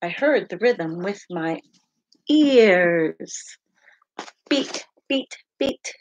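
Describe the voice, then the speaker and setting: A middle-aged woman teacher reading a picture book aloud to children, with deliberate sing-song emphasis on repeated words. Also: mid-range voice, expressive, a little hiss or hum, poor audio. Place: home kitchen, indoors.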